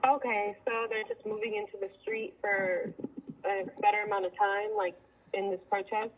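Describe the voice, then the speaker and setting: A woman's voice: mid-range voice, flat delivery, moderate pace. A woman chatting casually on the phone, polite, agreeable and unruffled. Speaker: property owner answering a phone call. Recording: noisy phone-call recording.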